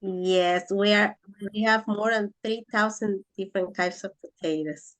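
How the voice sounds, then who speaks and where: A woman's voice: medium pitch, steady tone, measured pace. Peruvian woman explaining in English. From Peru. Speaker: guest speaker in an English class. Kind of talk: casual explanatory chat.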